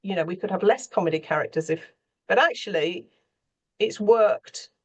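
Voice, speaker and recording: A woman speaking as a scriptwriter on a panel, candid and thoughtful, often pausing mid-sentence as she reflects. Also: medium pitch. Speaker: scriptwriter for radio and theatre.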